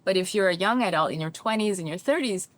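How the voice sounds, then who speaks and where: A woman's voice: mid-range voice, fast, no echo. A middle-aged woman from China with a slightly American-leaning English accent, a therapist and coach speaking thoughtfully and animatedly as an interview guest. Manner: thoughtful, animated, often checks in with "right?". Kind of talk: reflective interview conversation.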